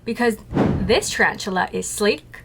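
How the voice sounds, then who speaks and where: A woman's voice: mid-range voice, animated, a little slow, gravelly, very noisy. A woman talking to camera about her tarantulas, chatty and playful, asking herself questions and then answering them. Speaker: tarantula keeper vlogger. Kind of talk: casual scripted talk to camera.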